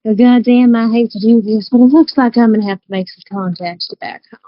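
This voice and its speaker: Tabletop RPG role: shrewd, folksy woman fixer acted by a woman, higher register, slightly dull dry recording. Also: steady tone, a little fast, no room sound, close to an everyday voice. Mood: reluctant resolve. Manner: drawling, folksy, calls people baby. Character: worldly, shrewd woman dealmaker in disguise, protective of children.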